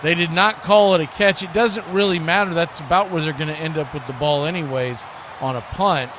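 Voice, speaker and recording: Higher-voiced American football commentator, noisy background. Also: male voice, brisk. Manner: conversational, folksy, rises to excited shouts on big plays. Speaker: play-by-play sports commentator and stream host. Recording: clearly noisy live stream background.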